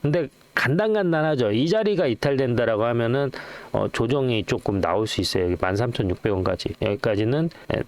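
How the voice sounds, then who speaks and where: Animated middle-aged man, chatty stock commentary. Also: mid-range voice, fairly quick, few pauses. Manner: chatty, rambling, poses questions and answers them himself. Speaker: stock channel host. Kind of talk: casual off-the-cuff stock commentary.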